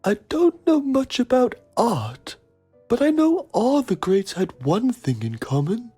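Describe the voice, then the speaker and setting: A man's voice: very high-pitched, huge pitch swings, unhurried, warm tone, husky, soft background music, exaggerated voice. A man playing a pompous TV journalist, narrating a mock documentary in a solemn, melodramatic manner. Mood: grave, pompous.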